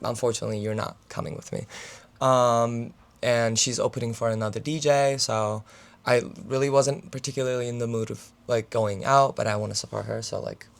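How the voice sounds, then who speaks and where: Young male student vlogger, mid-pitch, gravelly fry, raspy today; loose filler-laden chat to camera. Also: flat delivery, fairly quick. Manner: filler-heavy, talks with his hands. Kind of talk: casual rambling vlog chat.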